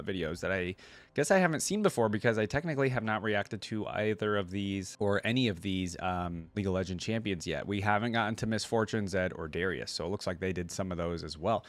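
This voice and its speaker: A man's voice: on the low side, dry recording. A man hosting a reaction video, giving informal, conversational commentary with rambling asides.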